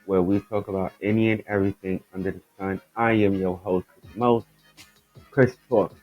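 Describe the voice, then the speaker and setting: A man hosting his own podcast, his voice raspy and stuffed up, chatting loosely and rambling as he talks straight to his listeners about the news. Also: deep voice, fairly even intonation, moderate pace, lo-fi sound, faint music behind. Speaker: podcast host. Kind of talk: casual commentary on news stories.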